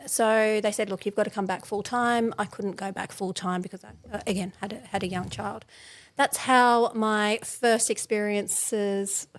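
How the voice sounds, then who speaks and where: A chatty woman in her forties tells her story as a podcast guest. She talks loosely and often stops to laugh, and her voice sits at a medium, everyday pitch. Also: steady tone, normal speed. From born in England, raised in Australia. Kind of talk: casual interview chat.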